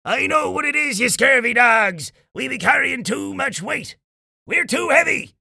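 A man voicing a blustering old pirate captain in a story, shouting orders in piratical phrasing. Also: extremely high pitch, expressive, unhurried, vocal fry, breathy edge, no echo, studio quality, pitched above the performer's own voice, full character voice. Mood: urgent, commanding. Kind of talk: acted children's storybook character.

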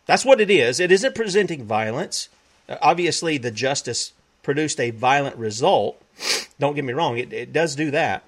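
A man's voice: on the high side, animated, normal speed. A man hosting a web broadcast, preaching emphatically, repeating himself and quoting scripture. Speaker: talk show host.

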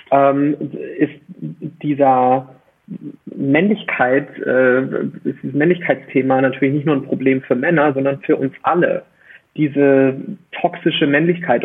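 A man's voice: medium pitch, slightly rough. A man speaking as a podcast guest, explaining his views emphatically and articulately, with rhetorical questions. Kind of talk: conversational interview, explaining opinions. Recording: muffled phone-like band-limited line.

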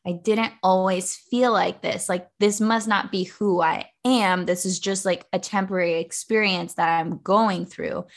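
A woman's voice: medium pitch, a bit bright. A young woman guesting on a podcast as an author and coach, talking casually and chattily about her own story. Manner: casual, chatty, many "like" fillers. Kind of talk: podcast interview.

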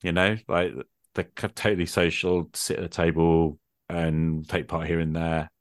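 A man's voice: low-pitched, flat delivery, moderate pace, no room sound, studio quality. A middle-aged man in a casual, extended conversation, thinking aloud in a reflective, hesitant way. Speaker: podcast host. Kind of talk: extended casual conversation about role-playing games.

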